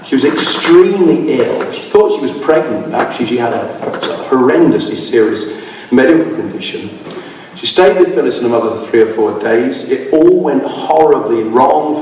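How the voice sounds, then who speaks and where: A man's voice: mid-range voice, darkish tone, slight background noise. A man giving an informal slide lecture, rambling with many restarts and losing his voice. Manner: discursive, with many fillers and restarts. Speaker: speaker giving a public talk. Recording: echoey hall, from far off.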